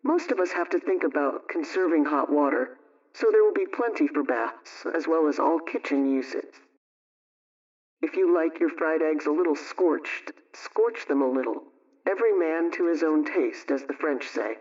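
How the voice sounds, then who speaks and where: A woman playing a brisk, friendly 1920s radio homemaking adviser, reading a script in a measured, instructive way. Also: low-pitched, a little mellow. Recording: dull old radio recording, distant mic.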